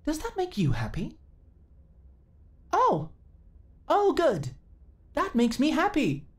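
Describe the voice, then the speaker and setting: A woman's voice: high-pitched, wildly swooping pitch, slow, full character voice. A woman playing an earnest, naive companion android in a sci-fi ASMR roleplay, speaking in stilted, formal, literal phrases. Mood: childlike delight.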